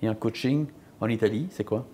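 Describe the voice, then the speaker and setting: Mellow-voiced male sports interviewer. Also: lowish voice, brisk, a little hiss or hum. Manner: asks short, direct, probing questions.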